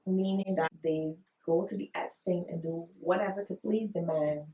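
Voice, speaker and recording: A woman's voice: lowish voice, normal speed. A woman from Barbados, hosting a casual chat and telling stories candidly. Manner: candid, expressive storyteller. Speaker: podcast host. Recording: roomy echo, distant mic.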